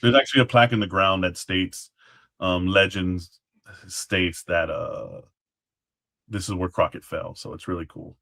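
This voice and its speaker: A man with a low-pitched voice speaks as a guest in a casual interview. He explains things informally and haltingly, often trailing into asides as he tells his stories. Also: steady tone, vocal fry, a bit bright. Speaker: guest, former Alamo guard.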